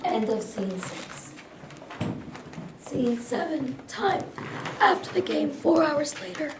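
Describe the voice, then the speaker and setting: High-pitched woman reading stage directions, distant. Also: big pitch swings, takes it slowly. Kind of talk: scripted narration at a staged reading. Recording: distant, echoey, noisy room recording.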